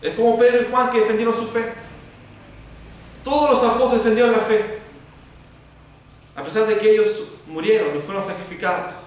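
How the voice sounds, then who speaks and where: A Chilean pastor with a high voice preaches to his congregation in a church sanctuary, building his points through repeated parallel phrases. He stands far from the microphone, and his voice bounces around a very echoey room over a faint background hiss. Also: steady tone, brisk, pauses often. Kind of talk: expository sermon.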